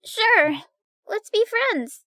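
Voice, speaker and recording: A woman voicing a shy, gentle young schoolboy who speaks timidly and hesitantly in an audio drama. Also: very high voice, animated, drawn-out words, no room sound, exaggerated voice. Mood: friendly.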